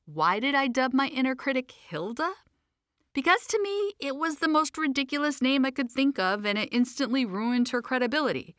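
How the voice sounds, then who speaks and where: A woman's voice: a little high, fairly bright tone, dry recording, clean studio sound. A woman reading her own self-help audiobook in a conversational, emphatic and playfully informal way.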